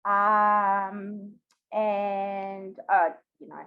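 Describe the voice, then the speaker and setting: Kiwi woman in her 50s chatting away as a guest, expressive, bit of a dull lofi sound. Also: mid-range voice, extremely slow, clear, resonant tone. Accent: New Zealand. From New Zealand. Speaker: podcast guest. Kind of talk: casual interview storytelling.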